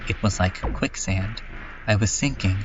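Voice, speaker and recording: A lonely last survivor played by a man confesses in a bleak dub narration, slowly and with deliberate halts. The voice is on the low side and slightly dulled, with music running clearly beneath it. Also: dull audio, lightly acted. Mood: bleak, detached. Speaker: narrator of a horror comedy fan dub. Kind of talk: slow first-person scripted narration.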